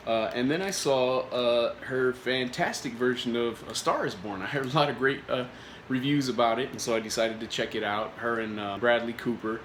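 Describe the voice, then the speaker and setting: A man's voice: medium pitch, fairly bright tone. Rambling man reviewing snacks, roomy echo. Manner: rambling, many filler words and restarts. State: recovering from a cold. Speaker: food reviewer. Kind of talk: casual product review vlog. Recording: echoey room with faint noise.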